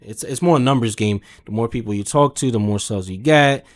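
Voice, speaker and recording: A man's voice: medium pitch, fairly quick. A man talking casually as a podcast guest, in an emphatic, slangy, free-swearing way full of verbal tags. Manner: slangy, emphatic, swears freely, peppers talk with "man" and "you know". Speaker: podcast guest, car sales manager. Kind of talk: casual conversational storytelling.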